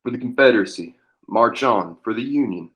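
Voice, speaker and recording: A man's voice: medium pitch, expressive, a little fast, fairly bright tone, close to an everyday voice. A man playing a haunted war-veteran leader of an outlaw gang in an amateur western table read. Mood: feverish.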